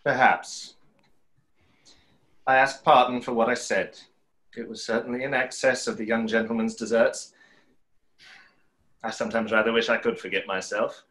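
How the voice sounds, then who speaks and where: A man's voice: mid-range voice, little pitch movement, moderate pace, pauses often, a bit bright, natural voice. A man in his thirties in a play reading, playing a suave conjurer with a dry, politely mocking manner. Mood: dry, subdued. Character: suave, wry stage conjurer trading polite barbs. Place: small room, video call.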